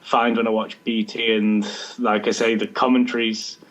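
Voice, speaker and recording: A British man on a football chat show, talking in a monotone and hesitating often. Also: fairly low pitch, normal speed, low-quality recording. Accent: British English. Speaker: show regular, social media head.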